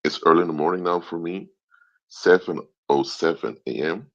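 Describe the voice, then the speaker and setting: Very deep gravelly man. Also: normal speed. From Curaçao, raised in the Netherlands. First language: Papiamento and Dutch. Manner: explanatory, repeats and restarts phrases. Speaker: live stream host, anthropologist. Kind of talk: casual live stream monologue. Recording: dull lo-fi stream audio.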